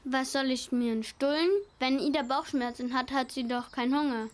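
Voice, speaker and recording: A woman's voice: sits high, fairly even intonation, normal speed, close to an everyday voice. A female performer playing a cheeky, eager young child with a blunt, know-it-all manner in a children's radio play. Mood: cheeky, plotting.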